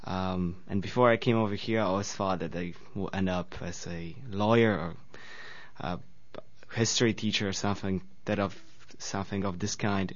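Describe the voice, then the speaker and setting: A young man in his twenties from Poland with a Polish accent, a student guest talking earnestly in slightly nonstandard phrasing. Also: fairly low pitch. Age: 20s. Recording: dull lo-fi radio recording.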